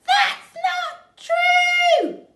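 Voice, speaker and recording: A woman voicing a shrill, indignant old woman, recorded in an echoey room from a distance. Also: fairly even intonation, extremely slow, raised voice, very noisy, heavily acted. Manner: shrill, outraged.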